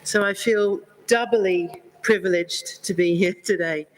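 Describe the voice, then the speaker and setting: A woman's voice: medium pitch, rough recording. A woman reverend giving a gracious interfaith address as a guest speaker, with an accent that is not South African. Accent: non-South African. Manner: warm, measured, grateful, storytelling. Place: convention hall.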